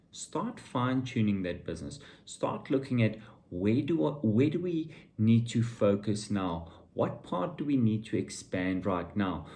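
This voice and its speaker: Deep-voiced, slow, blunt middle-aged man. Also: mellow. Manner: blunt, opinionated, emphatic with repetition. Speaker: vlogger and entrepreneur giving motivational talk. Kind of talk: informal motivational monologue to viewers.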